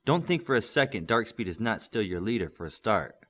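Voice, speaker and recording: A man's voice: fairly low pitch, big pitch swings, fairly quick, fairly bright tone. A man playing a patient, firm superhero mentor in an audiobook, coaching and instructing steadily. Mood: instructive.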